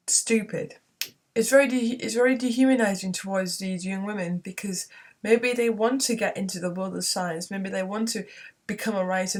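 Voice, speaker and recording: A young woman, hoarse from a cold, ranting earnestly in a casual vlog from her bedroom, rambling with frequent restarts. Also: medium pitch.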